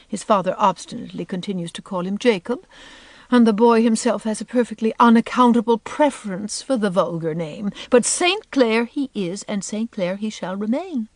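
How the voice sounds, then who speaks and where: Woman voicing a pompous, high-pitched society lady. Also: animated, moderate pace, no echo, lightly acted. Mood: indignant, affected. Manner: pompous, affected, emphatic. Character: haughty, affected, overdressed society mother, indignant and insistent. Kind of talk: audiobook character voice.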